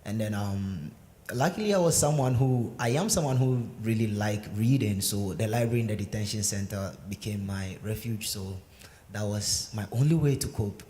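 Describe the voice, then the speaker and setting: A young man speaks hesitantly, pausing often with fillers as he tells his own story. His voice sits low and mellow. It is recorded in a large sanctuary with a long reverb. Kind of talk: personal testimony answering questions. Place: synagogue sanctuary. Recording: echoey sanctuary with faint noise.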